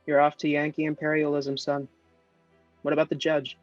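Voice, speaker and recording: A man's voice: on the high side, monotone, moderate pace, no echo, close to an everyday voice. A man reading the part of a hostile male airport official who interrogates and mocks a boy, in a play table read. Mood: mocking. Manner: sneering, interrogating. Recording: dry, dull lo-fi audio with faint music.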